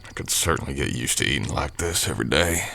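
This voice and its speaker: A man acting an Old West drifter cowboy with an extremely deep voice. He works as a ranch hand and speaks in an unhurried, folksy drawl. His manner is modest and courteous, in the intimate style of an ASMR roleplay addressed to the listener. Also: expressive, vocal fry, sharp tone, heavily acted. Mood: polite, eager.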